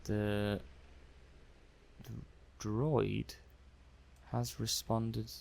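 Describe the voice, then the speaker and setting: Guy playing a robot lab tech for asmr, lowish croaky male voice, soft spoken, kinda flustered, chatty and musing to himself. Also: level tone, extremely slow, exaggerated voice. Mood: chatty, slightly flustered. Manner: hesitant, musing aloud, conversational. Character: affable, slightly flustered robot-testing technician, adult man. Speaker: ASMR roleplayer.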